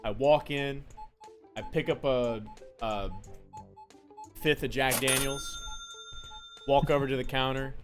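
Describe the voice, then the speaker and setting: Deep voiced bartender guy in his 20s, rambly and sweary, faint music behind. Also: fairly even intonation, slow pace, long pauses. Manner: rambling storyteller, swears freely. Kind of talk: casual chat while playing a game.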